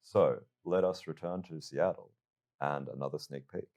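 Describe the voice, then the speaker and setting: Casting: male presenter, extremely low bass voice, formal interview delivery with careful wording. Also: normal speed, darkish tone. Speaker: interviewer, host.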